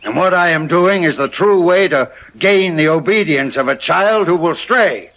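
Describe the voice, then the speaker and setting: A man's voice: on the high side, animated, normal speed. An actor playing a stern, bearded old settler father who whips his daughter, speaking in an archaic, preaching way in an old radio drama. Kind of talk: 1970s radio drama acting. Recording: muffled old radio recording.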